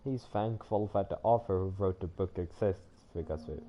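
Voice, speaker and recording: A man's voice: sits low, moderate pace, muffled recording, faint music behind. A man giving casual live commentary as a game streamer. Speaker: game livestreamer.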